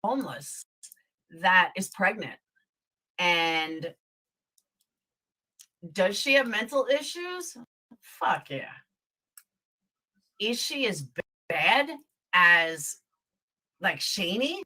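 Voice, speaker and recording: A woman's voice: medium pitch, sing-song, extremely slow, long pauses, bright, forceful tone, poor audio. A woman giving her opinion in emphatic talk.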